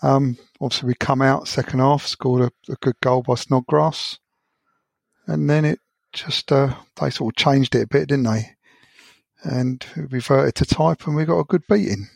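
A man's voice: medium pitch, normal speed, vocal fry, no room sound. A man co-hosting a podcast, giving an easygoing, rambling review of a match. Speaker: podcast co-host. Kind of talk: casual football chat.

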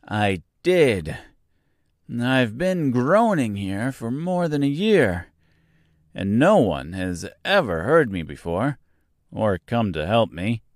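A man voicing a polite, sad, rusted man made of tin in a storybook, speaking slowly and earnestly. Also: mid-range voice, sing-song, dry recording, studio quality, pitched above the performer's own voice. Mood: sad, groaning. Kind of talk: storybook character voice.

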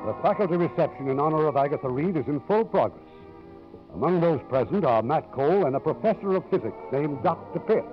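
A man's voice: on the high side, animated, a little fast. A man hosting a 1950s radio show, reading a scripted introduction. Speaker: radio show host and producer. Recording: dull vintage radio recording. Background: faint music.

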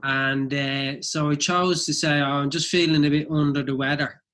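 Young co-host with a slight cold, thoughtful and a touch higher-pitched. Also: male voice, steady tone, normal speed, a bit bright. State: has a mild cold. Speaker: podcast co-host. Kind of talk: casual chat.

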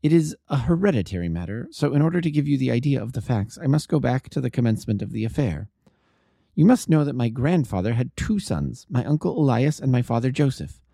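A man voices a refined young gentleman from a storybook, anxious and courteous. His delivery is earnest and formal, with swooping intonation and a soft, mellow tone. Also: medium pitch, lively intonation, a little fast, gravelly, husky, no echo, clean studio sound. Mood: earnest, explaining. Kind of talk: audiobook character dialogue.

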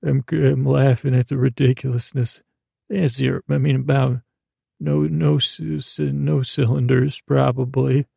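Gravelly, creaky-voiced man rambling softly at bedtime. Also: mid-range voice, flat delivery, dry recording. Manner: rambling, halting, self-interrupting with trailing tangents. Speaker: podcast host. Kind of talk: meandering bedtime podcast monologue.

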